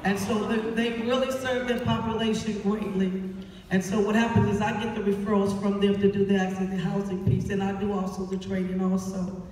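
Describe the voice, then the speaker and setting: A woman's voice: medium pitch, little pitch movement, brisk, a little mellow, a little hiss or hum. A middle-aged woman hosting on a theater stage, giving a live speech to introduce and thank a cast through a handheld microphone. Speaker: stage play director and host. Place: theater stage, indoors, filmed from the audience. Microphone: handheld microphone through PA, picked up by distant camera. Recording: echoey hall from far off, crowd and music.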